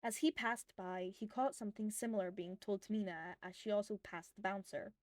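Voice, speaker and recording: A woman's voice: medium pitch, fairly quick, a little mellow, dry recording. A woman reading fan fiction aloud as scripted, audiobook-style narration.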